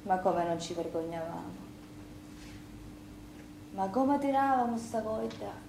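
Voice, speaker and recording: An actress playing a sister at a wake, in theatrical dialogue. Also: female voice, mid-range voice, animated, takes it slowly, vocal fry, background noise. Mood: dismayed. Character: Sicilian sister keeping a night wake. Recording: echoey theatre room.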